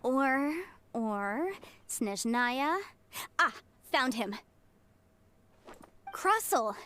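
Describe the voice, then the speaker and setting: A woman's voice: on the high side, animated, extremely slow, pushed and bright, exaggerated voice. A woman playing a self-assured, talkative young legal advisor in a fantasy game dub, breezy and businesslike. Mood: thinking aloud. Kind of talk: English game dub acting. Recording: background music under the voice.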